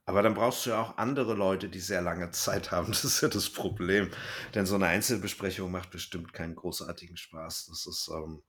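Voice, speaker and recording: A man's voice: low-pitched, steady tone, a little fast, a bit bright. A man hosting a podcast, chatting informally and a little ramblingly. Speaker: podcast host. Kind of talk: casual chat, podcast sign-off.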